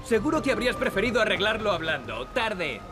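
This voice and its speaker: A glib young male adventurer in a video game, with a Castilian Spanish accent. His voice sits high and jumps around in pitch as he tosses out sarcastic remarks over prominent music. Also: sing-song, brisk. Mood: wry, glib.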